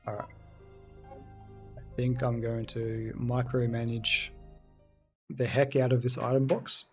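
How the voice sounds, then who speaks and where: A man's voice: mid-range voice, little pitch movement, slow pace, faint music behind. A man streaming a video game, chatting casually with viewers and reading their messages aloud. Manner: chatty, reads and answers chat aloud. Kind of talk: casual let's-play commentary.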